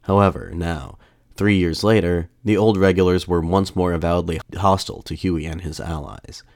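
A man hosting a podcast, narrating history in a measured, formal way, his voice slightly off after a mild illness. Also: deep voice, steady tone, a little mellow, no echo, studio quality. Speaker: podcast host.

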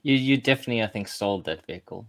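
A man's voice: fairly low pitch, fast talker, creaky, fairly bright tone, no echo. A man co-hosting a casual chat. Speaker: podcast co-host.

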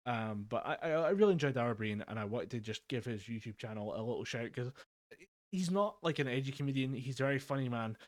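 A man's voice: mid-range voice, animated, quick pace, dry recording, studio quality. A young man co-hosting a casual podcast, telling stories in a rambling way with frequent swearing and laughter. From Scotland. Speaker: podcast co-host. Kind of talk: casual chat. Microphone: home mic over a voice call.

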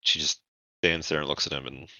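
A man narrating a tabletop roleplaying session as game master, in a halting, informal storytelling manner. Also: a bass voice, little pitch movement, bright, forceful tone, no room sound, muffled recording.

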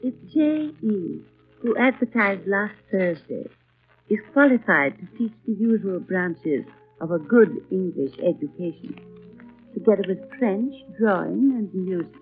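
An actress playing a neat, kindly little old lady in a genteel, measured 1930s radio style. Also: mid-range voice, expressive, faint music behind. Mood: formal, measured. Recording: tinny, narrow-band vintage 1930s radio recording.

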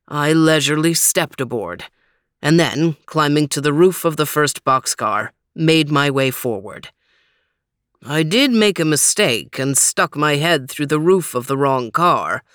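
A woman voicing a big, pompous male dog detective in a storybook, with a deep, booming voice and a wordy, leisurely manner. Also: fairly bright tone, no room sound, studio quality. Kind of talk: storybook character reading.

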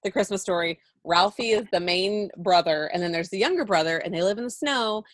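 A young woman co-hosting a relaxed chat, talking straight to her listeners and laughing easily. She sounds worn out after a long workday. The sound is dry and close. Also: medium pitch, fairly quick, dry recording. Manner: chatty, laughs often, addresses listeners. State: tired at end of workday. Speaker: podcast co-host. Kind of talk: casual chat.

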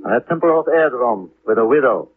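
An actor playing a formal, insistent German police official in a 1950s radio drama, with a German accent and stilted, inverted phrasing. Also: male voice, medium pitch, expressive, quick pace, close to an everyday voice. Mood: probing. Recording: muffled, noisy 1950s radio recording.

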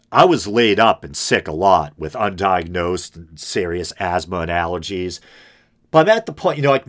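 Low droning semi-gravelly man. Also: a bit bright. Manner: rambling and self-deprecating, frequent ums and restarts. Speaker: podcast host. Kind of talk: casual solo podcast monologue.

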